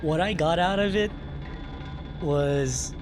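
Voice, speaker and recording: A man giving casual video game playthrough commentary in a halting, rambling, puzzled way. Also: fairly high pitch, background noise. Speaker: gaming video host. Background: game music.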